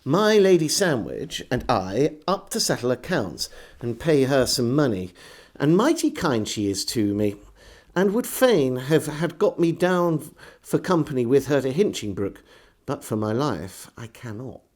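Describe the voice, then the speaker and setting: A male storyteller narrates an old diary. His pitch is middling but moves animatedly up and down through each sentence. He phrases expressively, pausing with care like an audiobook dramatist. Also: very animated, darkish tone. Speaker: storyteller reading a historical diary aloud.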